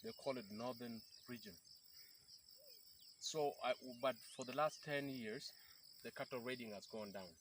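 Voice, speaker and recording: Interviewgast, Mann in den Dreißigern aus dem Südsudan, erklärt eindringlich und setzt oft neu an; leise Insekten im Hintergrund. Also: male voice, medium pitch, a little slow, pauses often, a bit bright, slight background noise. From South Sudan. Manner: earnest, explanatory, with frequent restarts. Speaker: peace activist guest. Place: outdoors by a river in rural bushland.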